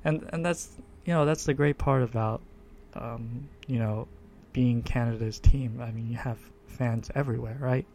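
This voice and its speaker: A man hosting his own podcast, introducing himself in a low monotone voice and stopping often with fillers and restarts. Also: normal speed, few pauses, a little hiss or hum. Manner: hesitant, many fillers and restarts. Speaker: podcast host. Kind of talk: casual self-introduction monologue. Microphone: desktop USB microphone.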